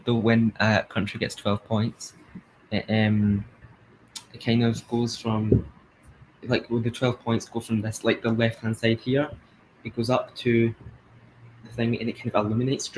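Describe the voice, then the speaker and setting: A young man with a Glasgow-area Scottish accent, chatting excitedly and laughing as he comments on a live stream. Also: medium pitch, slight background noise, rough recording. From Scotland.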